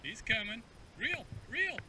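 A man's voice: very high-pitched, big pitch swings, takes it slowly. A middle-aged man chatting casually on an outdoor fishing vlog, encouraging in short, repeated phrases. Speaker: father coaching his son while fishing. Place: outdoors on a reservoir bank. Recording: outdoors, distant mic, loud background noise.

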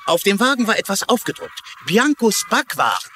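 A man's voice: sits high, sing-song, normal speed, pushed and bright, slight background noise, heavily acted. A man playing a chatty, wisecracking city eyewitness in a dubbed TV crime drama. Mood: wry. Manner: wry, wisecracking.

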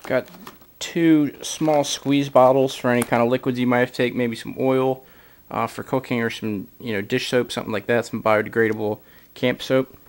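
A man's voice: mid-range voice, steady tone, normal speed. A man giving a casual product walkthrough as a gear reviewer, filler-heavy, recorded indoors on a camera mic. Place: indoors, camera over a carpeted floor.

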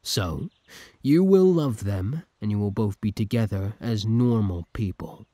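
A man playing an obsessive, lovesick older villain from the future in an audio roleplay, commanding and intimate, with a husky voice. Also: on the low side, expressive, a little slow, mellow, no echo, clean studio sound. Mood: commanding, possessive. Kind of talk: scripted ASMR roleplay acting.

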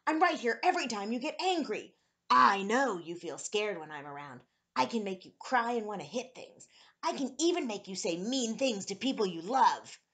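A woman's voice: medium pitch, expressive, moderate pace, a bit bright, close to an everyday voice. Woman voicing a bold, emphatic hairy anger creature. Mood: boastful, coaxing. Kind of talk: children's storybook read-aloud.

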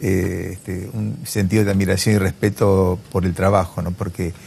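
A man's voice: low-pitched, level tone, moderate pace, vocal fry, a little mellow, slight background noise. A man in his fifties with an Argentine accent giving hesitant, reflective answers in a studio interview on a lapel mic. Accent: Argentine Spanish. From Chacabuco, Argentina. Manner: hesitant, reflective, seeks agreement with tag questions. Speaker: interview guest, businessman. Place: television studio.

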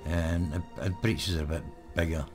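A man, hoarse and croaky, giving relaxed live let's-play commentary full of ums. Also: low-pitched, moderate pace, music in the background. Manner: relaxed, rambling, many ums. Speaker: gaming channel host.

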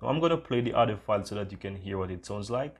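Casual man hosting a software tutorial. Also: fairly low pitch, lively intonation, fast talker. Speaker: tutorial host.

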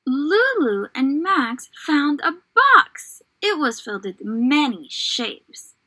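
A woman's voice: high-pitched, big pitch swings, slow pace, bright, forceful tone. A young woman teaching small children in an encouraging, exaggerated child-directed way, full of questions. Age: young adult. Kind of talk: children's lesson and read-aloud. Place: small indoor room.

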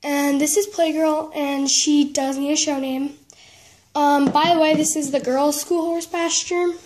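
A woman giving a casual show-and-tell narration in a halting way, often trailing off and correcting herself. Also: high voice, steady tone, darkish tone. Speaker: vlogger giving a tour of a toy horse barn. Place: indoors at home, room with wooden floor. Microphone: handheld camera, speaker behind it.

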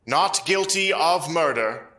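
A colonial jury foreman, played by a man, answers slowly and formally in a bright, firm voice. His terse, deliberate words echo in the room of a courtroom reenactment. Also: a little high, animated, full character voice. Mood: grave. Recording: echoey room.

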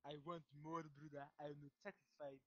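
Comic role-play: high-pitched, insistent Ugandan man with playful, exaggerated pleading, put on by a male voice-chat user. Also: little pitch movement, fairly quick, a bit bright, highs cut off, natural voice. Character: cheeky, pleading Ugandan man calling everyone brother.

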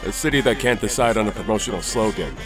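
A man's voice: lowish voice, fast talker, over music, lightly acted. A man voicing a big, hearty male fighter in a fan comic dub, blunt and cheerful.

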